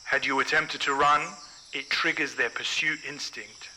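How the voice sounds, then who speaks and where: A man playing a supportive colleague who talks in a calm, reassuring and measured way. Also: medium pitch, poor audio.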